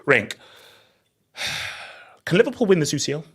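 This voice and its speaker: A man's voice: on the high side, sing-song, measured pace, breathy edge. Man hosting a casual football panel. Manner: repeats words and phrases emphatically. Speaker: host of a football discussion show. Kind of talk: casual chat moderating a panel.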